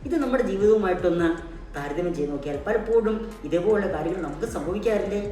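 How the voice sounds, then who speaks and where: A woman's voice: mid-range voice, lively intonation, fast, warm tone. A woman hosting a puppet show and telling a story to children in a teacherly way, with questions and repeated key words. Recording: very echoey, distant mic, background music.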